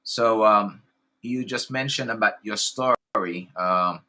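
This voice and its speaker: A man with a Haitian accent hosts a casual interview, eager and halting, stumbling over his restarts. His voice is bright and forward. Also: lowish voice. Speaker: host.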